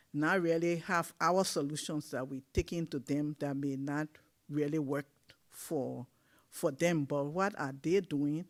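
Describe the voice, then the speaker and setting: A man on a discussion panel, speaking earnestly about community leadership. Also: fairly high pitch.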